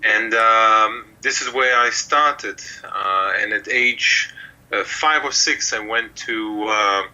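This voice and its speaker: A man's voice: lowish voice, takes it slowly, sharp tone, dull audio. A middle-aged man from Israel, a mentalist telling his story in an interview, rambling with many fillers. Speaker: mentalist guest. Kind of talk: relaxed interview talk.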